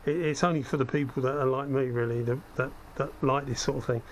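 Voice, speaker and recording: A man with a slightly croaky, cold-affected voice giving a casual hands-on demonstration, thinking aloud as he works. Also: mid-range voice, fast talker. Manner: conversational, self-deprecating asides, thinking aloud while working. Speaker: hobbyist host demonstrating spray gun equipment. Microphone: head-mounted action camera. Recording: steady background noise from workspace.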